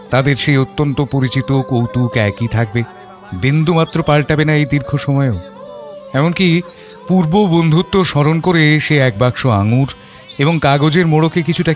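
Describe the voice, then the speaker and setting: An actor playing a reflective father who narrates his memories in a measured, literary and expressive audio story style. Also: fairly high pitch, natural voice. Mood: regretful. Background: background music.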